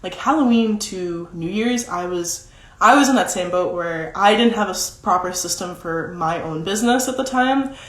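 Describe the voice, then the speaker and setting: A young woman with a deeper-than-usual voice talks casually in a roomy, echoey space. She chats freely, with lots of "like" fillers, as she coaches her viewers on content. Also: expressive, normal speed, fairly bright tone. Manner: chatty, many "like" fillers. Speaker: social media content coach. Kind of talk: casual livestream talk. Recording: roomy echo.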